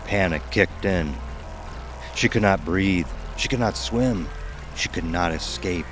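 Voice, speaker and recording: A man's voice: low-pitched, unhurried. A man giving scripted narration as the narrator of an audio horror drama. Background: music.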